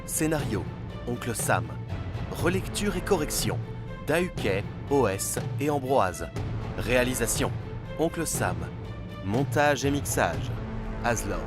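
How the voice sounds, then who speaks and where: Male announcer, medium-pitched, scripted credit read over a clear music bed. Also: animated, slow pace, long pauses. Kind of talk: scripted narration, reading credits.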